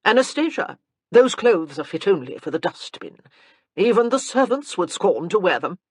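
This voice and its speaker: A woman's voice: medium pitch, big pitch swings, normal speed, breathy edge, no room sound, studio quality. A woman voicing a blunt, commanding elderly aristocratic grandmother in an audiobook. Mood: imperious. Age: older. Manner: imperious, cutting.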